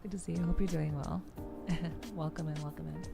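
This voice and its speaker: A woman streamer with a low voice and plenty of vocal fry. She talks softly and gently, in the rambling, explanatory manner of an ASMR game stream host. Music plays clearly behind her. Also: fairly even intonation, moderate pace. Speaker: streamer, host of a weekly live show. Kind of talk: soft-spoken ASMR game stream chat. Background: background music.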